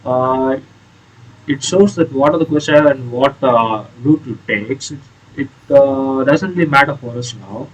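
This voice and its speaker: A man giving casual, explanatory commentary on a video game playthrough in a hesitant, halting way. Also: medium pitch, low-quality recording. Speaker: gaming video creator.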